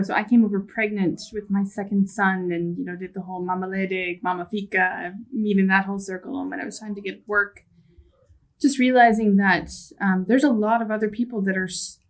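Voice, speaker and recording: A woman's voice: mid-range voice, rough recording. A woman from Canada speaking on a panel, upbeat and practical as she gives advice. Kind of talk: panel discussion.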